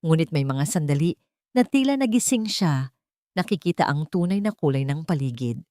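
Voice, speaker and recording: A woman's voice: low-pitched, expressive, moderate pace, warm tone, dry recording, clean studio sound. A woman storyteller reading a scripted dramatic story.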